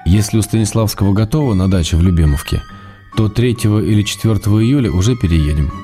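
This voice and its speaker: An actor playing an affectionate, playful middle-aged husband, a writer reading his letters in an intimate, measured way for a radio drama. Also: fairly low pitch, natural voice. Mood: calm, affectionate. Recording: loud music behind the voice.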